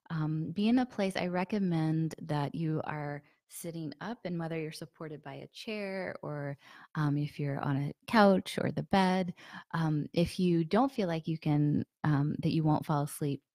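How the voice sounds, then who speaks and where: A woman guiding a meditation group with a slight hoarseness from a sore throat, talking softly with many fillers and sometimes dropping to a breathy near-whisper. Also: sits low, measured pace, dry recording, clean studio sound. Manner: soft, relaxed, many fillers. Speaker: meditation guide. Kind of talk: guided meditation with a live group.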